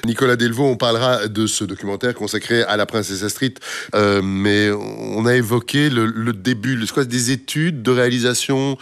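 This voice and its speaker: A man's voice: medium pitch, steady tone, normal speed, fairly bright tone. A man hosting a studio talk show, casual, speeding up as he asks questions.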